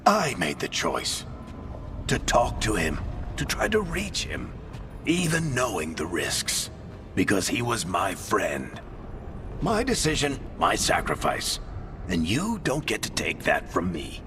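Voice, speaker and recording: An actor playing a warm, weary, wry mentor saying farewell in a cinematic video game, speaking informally and unhurriedly. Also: male voice, on the low side, huge pitch swings, slow pace, exaggerated voice. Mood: gentle, wry farewell. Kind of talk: cinematic game dialogue acting. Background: music.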